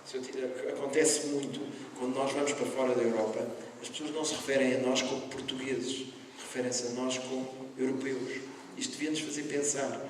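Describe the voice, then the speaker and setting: A man's voice: medium pitch, level tone, fairly quick. Earnest guy from lisbon on a panel, big echoey hall, distant mic, lots of noise. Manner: earnest, repeats phrases for emphasis. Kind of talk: panel talk to students. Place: school hall. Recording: echoey hall from far off, noisy.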